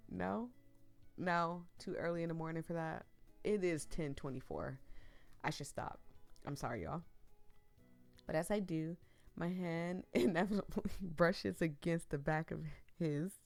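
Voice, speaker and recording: A young woman reads a visual novel aloud, her voice a little hoarse as she gets over the flu. She keeps breaking off into giggly, rambling chats with her viewers, then drops back into reading. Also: lowish voice. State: losing her voice after the flu. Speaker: streamer playing a visual novel.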